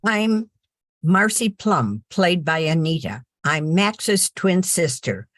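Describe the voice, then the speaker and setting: A woman's voice: fairly low pitch, sing-song, slow pace, no room sound, studio quality. An older woman playing an honest, hardworking twin sister in a radio drama. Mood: earnest.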